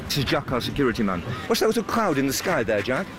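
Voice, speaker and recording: A man presenting a documentary, narrating in a measured, descriptive way and questioning the people he meets. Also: mid-range voice, big pitch swings, background noise. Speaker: reporter and presenter.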